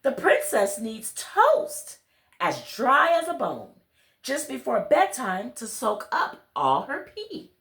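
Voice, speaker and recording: Storybook laundry lord played by a woman: fussy, earnest adviser, higher-pitched, roomy sound. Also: wildly swooping pitch, slow, creaky, a bit bright, exaggerated voice. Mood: earnest advising. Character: fussy, earnest royal laundry lord giving odd advice.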